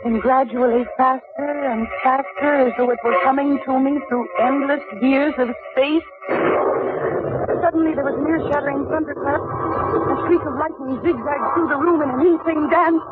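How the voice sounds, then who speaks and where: A woman's voice: high voice, steady tone, normal speed, natural voice. Actress playing haunted young woman, intense dramatic delivery, old radio drama, tinny narrow sound, prominent music behind. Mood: eerie suspense. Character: intense, haunted young woman seeking her dead father's truth. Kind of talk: old-time radio drama acting and narration.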